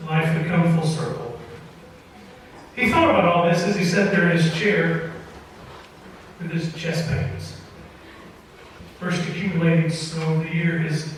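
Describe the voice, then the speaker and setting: A man with a high-pitched voice tells a story on stage in a folksy, measured manner, pausing deliberately. He is heard at some distance from the mic in a big reverberant hall, as in a live old-time radio variety show. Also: slight background noise. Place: opera house stage with live audience.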